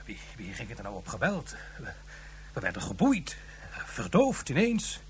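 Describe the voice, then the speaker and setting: Nervous official, voice bouncing around, little hiss and hum. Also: male voice, medium pitch, wildly swooping pitch, normal speed. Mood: worried. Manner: confidential, then panicky. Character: flustered male government official. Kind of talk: 1970s radio drama acting.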